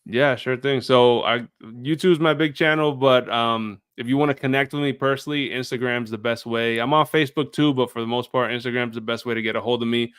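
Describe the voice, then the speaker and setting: A man's voice: mid-range voice, steady tone, fairly quick, few pauses, a bit bright, clean tone, dry recording, clean studio sound. Male video creator as show guest, clean resonant mid-range voice, informal conversational delivery. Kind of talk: casual chat.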